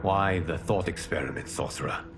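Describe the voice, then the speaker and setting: An actor playing a noble, burdened god and protector in a video game, speaking in a grave, formal, earnest way. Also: male voice, low-pitched, normal speed, noisy background. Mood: grave, questioning. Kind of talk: dramatic video game cutscene acting. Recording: loud music behind the voice. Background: music.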